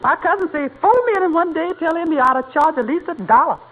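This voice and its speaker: A woman's voice: extremely high pitch, quick pace. An actor, heard as a woman, playing a good-humored, giggly, deferential yet cheeky housemaid with folksy dialect in a 1940s-style radio comedy. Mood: earnest, teasing. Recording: noisy, muffled old radio broadcast.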